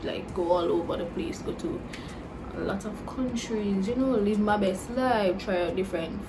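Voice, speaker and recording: A woman's voice: mid-range voice, moderate pace, heavy background noise. A 21-year-old woman with a Caribbean English accent mixing Jamaican and Dominican, chatting casually as she answers viewer questions, with a lot of "like" and "you know". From Caribbean, Jamaican and Dominican roots. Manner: chatty and relaxed, lots of "like" and "you know" fillers. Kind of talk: casual Q&A vlog chat.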